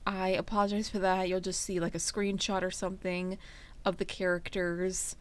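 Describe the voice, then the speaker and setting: A woman's voice: lowish voice, little pitch movement, normal speed. A woman hosting and narrating a fan fiction reading in a casual, storytelling style. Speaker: fan fiction reader and channel host.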